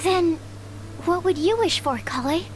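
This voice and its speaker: A woman's voice: very high voice, lively intonation, measured pace, faint music behind. A woman voicing a timid, bookish young alchemist in a video game, hesitant and precise.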